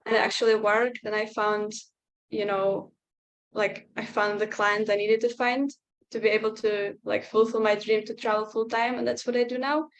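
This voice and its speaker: A young woman speaks in a level, even tone with little rise and fall. She talks hesitantly, leaning on filler words. The sound is that of a video call. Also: medium pitch, normal speed, dull audio. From Czech Republic. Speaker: interview guest, client. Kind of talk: casual testimonial chat.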